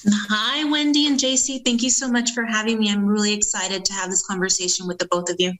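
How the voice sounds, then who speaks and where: A woman guest on a podcast, a business owner, answers questions in an earnest, steady voice. She pauses with frequent ums but keeps an even, mid-pitched tone. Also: low-quality recording. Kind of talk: interview answers.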